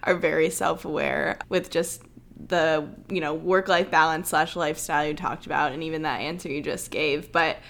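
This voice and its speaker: Female co-host, measured and curious interviewer, pitched a little low. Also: steady tone, gravelly, fairly bright tone, a little hiss or hum. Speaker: podcast co-host. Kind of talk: interview question.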